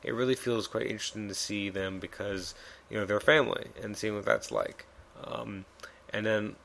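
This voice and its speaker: Male podcast host, low gravelly voice a bit worn, loose rambling delivery. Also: expressive, moderate pace, a bit bright. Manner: rambling, many fillers and restarts. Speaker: podcast host reviewing comics. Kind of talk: casual solo podcast review.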